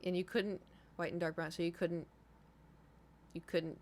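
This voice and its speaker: A woman's voice: on the low side, flat delivery, normal speed, slight background noise. A woman in her thirties chatting casually to livestream viewers, rambling informally, swearing freely and repeating herself for emphasis. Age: 30s. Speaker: livestream host.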